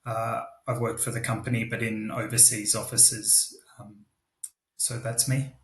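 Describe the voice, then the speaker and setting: Male guest, forties, Australian accent, slow casual delivery with little inflection, echoey room. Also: lowish voice, little pitch movement, a bit bright, slight background noise. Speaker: guest, expatriate professional. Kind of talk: casual self-introduction. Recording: roomy, echoey sound.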